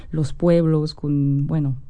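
A French woman speaking Spanish with a French accent as a musician guest in an interview, pausing and restarting as she searches for words. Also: sits low, level tone, warm tone, no echo. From France.

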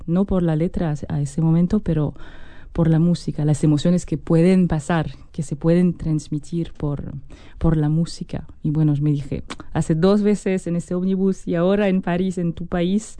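A French woman speaking Spanish with a French accent as a musician guest in an interview, pausing and restarting as she searches for words. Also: lowish voice, steady tone, dark tone, no room sound. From France.

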